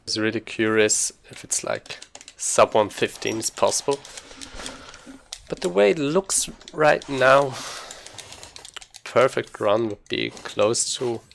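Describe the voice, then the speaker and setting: A man's voice: mid-range voice, animated, takes it slowly, vocal fry, fairly bright tone, a little hiss or hum. A man giving casual, informal gameplay commentary as a speedrunner, thinking aloud as he plays.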